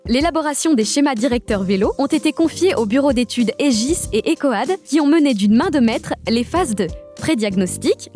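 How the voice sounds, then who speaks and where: A woman from a regional nature park staff, giving upbeat scripted narration for an animated explainer. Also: fairly high pitch. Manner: upbeat, informative, lists facts briskly.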